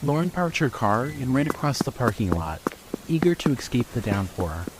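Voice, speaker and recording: A man's voice: medium pitch, sing-song, normal speed, music in the background. A man narrating a scripted fiction story in the third person. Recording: clearly noisy background.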